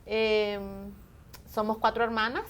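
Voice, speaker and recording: A woman's voice: a little high, little pitch movement, slow pace, clean tone. A woman from Honduras, a church elder, giving an earnest, devout testimony in a TV studio interview. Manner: earnest, devout, answers with "amén".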